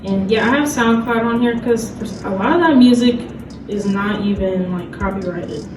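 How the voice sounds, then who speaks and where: A woman's voice: medium pitch, normal speed. A woman giving a casual, informal panel presentation, talking loosely and conversationally with lots of "like". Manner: conversational, loose, filler-heavy with frequent "like" and small laughs. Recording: roomy distant mic, loud music behind.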